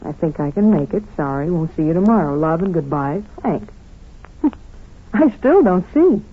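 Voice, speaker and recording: A woman's voice: lowish voice, very animated, unhurried, husky, full character voice. An actress playing a flirtatious, curious female sidekick to a detective, in 1940s radio drama style. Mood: puzzled, curious. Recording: tinny vintage radio broadcast.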